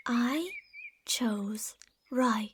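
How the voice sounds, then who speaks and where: A woman's voice: fairly high pitch, very slow, slight background noise. A woman voicing a possessive, delusional lamia monster in an ASMR roleplay, speaking in a haughty, theatrical, sweetly gaslighting way. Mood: hushed, creepy.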